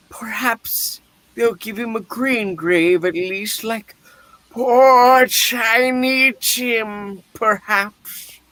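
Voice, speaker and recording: An actor playing a frightened, remorseful old miser in a theatrical radio play reading, with dramatic, halting, pleading delivery. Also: medium pitch, fairly bright tone, no echo, low-quality recording. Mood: fearful, mournful. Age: older.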